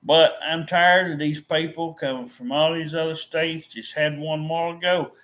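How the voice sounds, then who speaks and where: A man's voice: a little high, fairly even intonation, moderate pace, fairly bright tone, poor audio. Fed-up middle-aged man. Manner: frustrated, repetitive, with many restarts. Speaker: vlogger. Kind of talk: rambling to-camera vent.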